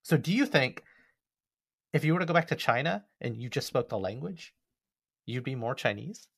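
A man's voice: mid-range voice, animated, moderate pace, creaky, a bit bright, breathy edge, dry recording, clean studio sound. A man with an American accent talking casually as a podcast co-host, telling stories in a joking, conversational way. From United States.

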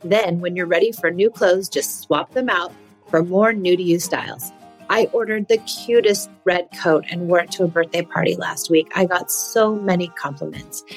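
Woman host, low voice, friendly ad read with soft music. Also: normal speed, poor audio. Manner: friendly, chatty promotional delivery. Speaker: podcast host reading a sponsor ad.

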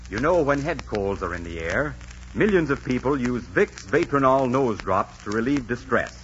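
An old, muffled radio recording with faint noise. A male announcer speaks in a mid-range voice with lively, theatrical rises and falls. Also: medium pitch, normal speed.

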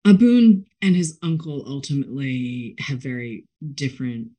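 A woman hosting a book interview, chatting enthusiastically in an effusive, expressive and rambling way. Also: extremely low pitch, normal speed. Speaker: interviewer, book show host.

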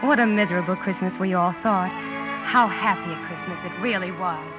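A woman's voice: on the low side, a little fast, loud music behind the voice, close to an everyday voice. A woman playing a proper, wistful eldest sister in a 1950s radio drama. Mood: wistful narration. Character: ladylike eldest sister telling her family's story. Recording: dull vintage radio recording.

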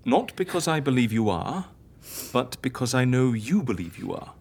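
Husky, wildly animated eccentric healer. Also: female voice, a bass voice, moderate pace, heavily acted. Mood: coaxing, solemn. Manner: theatrical, playful, persuasive. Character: eccentric, theatrical female healer, coaxing and irrepressibly cheerful. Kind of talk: audio drama acting.

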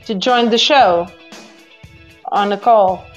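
A woman from Portugal with a Portuguese accent, a native Portuguese speaker, hosting a casual live call-in show in a halting way with repeated words. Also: medium pitch. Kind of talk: casual live chat with listeners. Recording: dull lo-fi app audio with music. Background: music.

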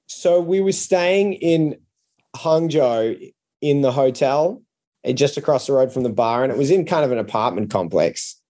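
A man's voice: medium pitch, animated, normal speed, dark tone. An Australian man with an Australian accent, a podcast guest and comedian telling anecdotes in a casual, conversational style.

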